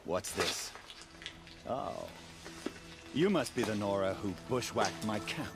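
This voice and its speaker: An actor playing a vengeful, gloating villain in a video game, taunting and theatrical in manner. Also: male voice, lowish voice, expressive, takes it slowly, over loud music. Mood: mocking threat. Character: vengeful, gloating male villain, a scheming rebel bomber. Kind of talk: dramatic video game acting.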